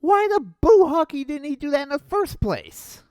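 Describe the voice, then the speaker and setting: A young man reviewing an old cartoon in a sarcastic, mocking voice-over with deadpan jabs. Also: very high-pitched, normal speed, no room sound, clean studio sound.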